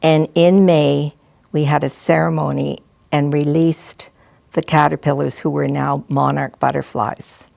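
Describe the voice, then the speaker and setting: An older woman, a retired school principal, telling gentle, halting stories and advice to students. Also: low-pitched, level tone, vocal fry, no echo. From Canada. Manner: gentle, halting, with frequent restarts and soft asides. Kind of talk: reflective storytelling to students.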